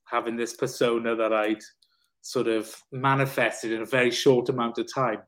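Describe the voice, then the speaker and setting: A man from South Wales, a personal trainer and coach, telling his life story candidly as a podcast guest, with lively swearing. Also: mid-range voice, moderate pace.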